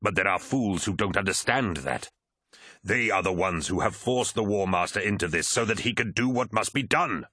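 A man's voice: lowish voice, expressive, normal speed, pushed and bright, husky, no echo, heavily acted. A man performs a fanatical military commander from a science fiction audiobook. He rants with scorn and force, barking orders and contempt. The recording is close and dry. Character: contemptuous male war-machine commander, fanatical traitor.

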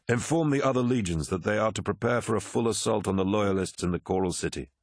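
A man's voice: on the low side, fast, dry recording, lightly acted. Man acting a commanding, wrathful warlord, dry sound. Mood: commanding, proud. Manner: forceful, imperious, snapping and roaring. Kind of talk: dramatic audiobook character acting.

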